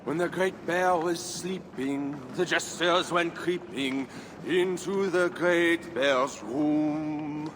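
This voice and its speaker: Very slow sing-song jester. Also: male voice, fairly high pitch, a bit bright. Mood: playful, theatrical. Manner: drawn-out, lilting performance. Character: theatrical riddling jester, adult man. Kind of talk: sing-song rhymed verse in a video game. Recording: outdoor game ambience, clearly noisy.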